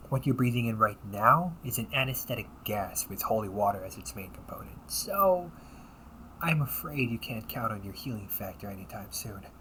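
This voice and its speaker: Man acting smooth-talking wry demon hunter, bright voice. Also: lowish voice, a little hiss or hum, low-quality recording. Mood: apologetic, courteous. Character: wry, politely smug demon-hunting officer, calm and apologetic. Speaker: amateur voice actor. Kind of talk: audio roleplay, one-sided dialogue addressed to the listener.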